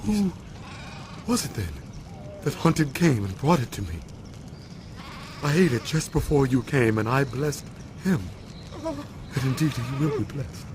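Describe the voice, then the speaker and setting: An actor playing a frail, solemn old blind father with a deliberate delivery in a Bible audio drama. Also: mid-range voice, sing-song, noisy background. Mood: shaken, distressed. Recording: dramatic music behind the voice.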